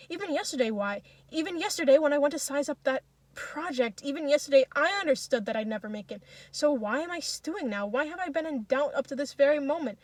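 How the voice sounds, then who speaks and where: A woman acting an anguished, high-voiced young man. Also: dry recording. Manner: agitated, halting, repetitive self-questioning. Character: anguished, self-doubting young man waking from nightmare. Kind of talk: audiobook-style dramatic reading.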